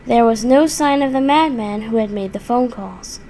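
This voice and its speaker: A woman's voice: a little high, moderate pace, mellow. A woman reading a scripted horror story as its narrator. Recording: clearly audible background noise.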